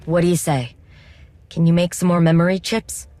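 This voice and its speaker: An actress playing a determined, wry droid mechanic in a video game, speaking informally with coaxing, teasing encouragement. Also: female voice, fairly low pitch, fairly even intonation, moderate pace, dark tone, faint music behind, lightly acted. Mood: earnest, determined.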